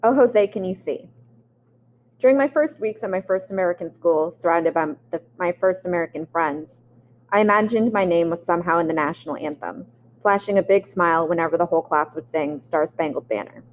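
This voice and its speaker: A woman's voice: on the low side, a little fast, muffled recording, slight background noise. A woman reading a memoir aloud. She reads expressively and sometimes stops to restart a word. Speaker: audiobook reader.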